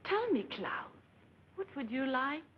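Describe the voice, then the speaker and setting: A woman's voice: high-pitched, very animated, measured pace. An actress playing a patient, coaxing woman who talks to a ventriloquist's dummy in a film. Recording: dull, noisy film soundtrack.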